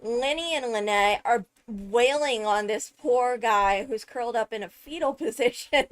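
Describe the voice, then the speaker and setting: A woman giving casual, excited video commentary on a game trailer, chatty and thinking aloud with frequent interjections. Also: a little high, a little slow, heavy background noise. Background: loud music from the video under commentary.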